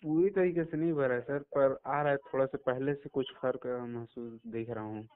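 Heard over a narrow phone line, this is a man with a smooth, clear voice at a middling pitch. He talks as a patient in a consultation call. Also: fairly even intonation, normal speed. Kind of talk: phone conversation. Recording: tinny phone call recording.

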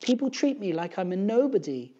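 Man doing aggrieved woman teacher, high-pitched, plaintive, complaining, very animated, children's storybook. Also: a little fast, lo-fi sound. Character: aggrieved, weepy woman schoolteacher, long-serving and unappreciated. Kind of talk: children's storybook character reading.

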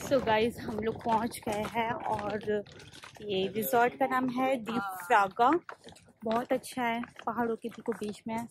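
A chatty young woman with a slightly high voice, talking to her followers as she films herself on a phone at arm's length. Clear background noise sits under the outdoor recording. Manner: chatty, addresses viewers directly. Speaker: vlogger. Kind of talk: casual travel vlog. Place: outdoors at a hill resort. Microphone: phone held at arm's length.

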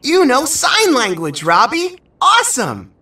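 A bright, hugely animated schoolboy played by a woman in a kids' cartoon. He is friendly and upbeat, speaking slowly and deliberately. The voice sits a little distant over music. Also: sits high, slight background noise, exaggerated voice. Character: friendly upbeat deaf schoolboy, the narrator's buddy. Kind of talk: children's animated story dialogue.

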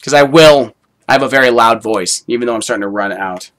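A young man streaming a horror game, swearing and shouting in panic, talking into a headset in a small room. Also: mid-range voice, fairly quick. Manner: swears a lot, yells in panic. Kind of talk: live horror game commentary.

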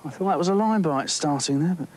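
Chatty middle-aged English angler outdoors. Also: male voice, fairly high pitch, animated, fast, a little mellow, slight background noise. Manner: chatty and enthusiastic, thinking aloud with small restarts. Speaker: angling presenter. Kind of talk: on-location nature commentary while fishing. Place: outdoors at a tree-lined lake bank.

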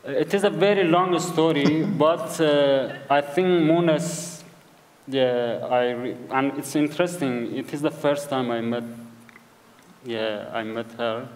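A man with a Kurdish accent speaking English as a second language, halting and searching for words as a guest at a live event. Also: medium pitch, darkish tone, a little hiss or hum. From Iranian Kurdistan. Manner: hesitant, searching for English words, repeats himself. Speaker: author guest. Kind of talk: conversational interview on stage. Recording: hall reverb at a live event.

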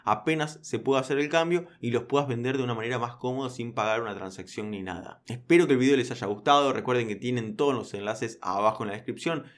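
A man with an Argentine accent, walking viewers through a crypto platform in a casual, direct tutorial voice. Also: mid-range voice. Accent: Argentine Spanish. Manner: quick, direct second-person explainer. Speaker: crypto YouTuber. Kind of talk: screen-recorded tutorial.

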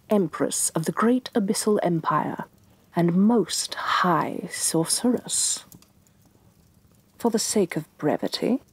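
A woman playing a haughty, domineering evil sorceress queen, soft-spoken and imperious in a fantasy ASMR roleplay. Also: lowish voice, creaky, breathy edge, no echo, exaggerated voice. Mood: menacing, offended, then smug, pleased. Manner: imperious, condescending, theatrically disdainful.

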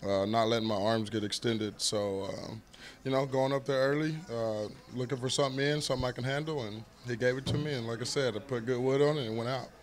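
Laid-back ballplayer, easy laughter. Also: male voice, mid-range voice, steady tone, fairly quick. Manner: laid-back, laughs easily, many fillers. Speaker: baseball player. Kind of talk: post-game interview. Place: indoors in front of a sponsor backdrop. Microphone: handheld interviewer mic.

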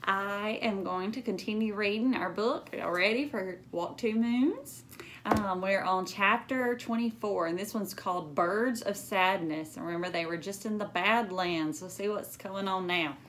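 A woman's voice: mid-range voice, a little slow, a bit bright, a little hiss or hum. A woman, reading a story aloud expressively to children, with chatty asides. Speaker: elementary school librarian reading aloud. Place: small lamp-lit room at home.

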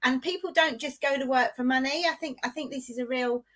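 A woman in her fifties hosts a casual chat over a video call, her somewhat high voice bright and forward. She talks in a rambling, self-deprecating way, circling back on her points. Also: brisk. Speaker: podcast host. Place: home office with bookshelves.

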